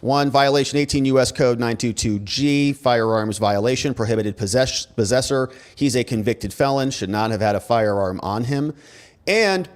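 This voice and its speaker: An American man hosting legal commentary, reading documents aloud with emphatic, conversational asides and sarcastic rhetorical questions. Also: medium pitch. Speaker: host, criminal defense lawyer.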